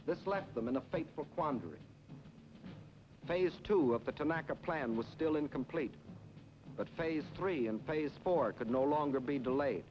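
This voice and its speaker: A man's voice: a little high, moderate pace, a little hiss or hum. 1940s American newsreel man, dull vintage soundtrack. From United States. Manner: grave, emphatic, declamatory. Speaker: documentary narrator. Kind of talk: 1940s wartime propaganda newsreel narration. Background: orchestral music.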